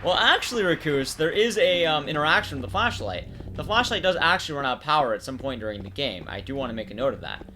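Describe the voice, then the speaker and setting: A man's voice: on the high side, lively intonation, fast talker, hardly any pauses, bright, forceful tone, slight background noise. A man giving casual playthrough commentary, explaining things informally and talking straight to viewers. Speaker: game commentator.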